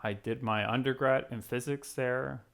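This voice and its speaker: Creaky-voiced man explaining to students. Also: medium pitch, fairly even intonation, moderate pace, fairly bright tone. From Venezuela (Caracas). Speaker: physics professor and program co-founder. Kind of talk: explanatory talk to students, conversational.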